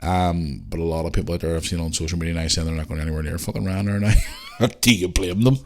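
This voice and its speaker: A man's voice: sits low, steady tone, fast, a little mellow. A man with a Northern Irish accent hosting a podcast, chatting casually and informally as he goes through listener messages. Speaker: podcast host answering listener questions. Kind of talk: casual chat and reading out messages.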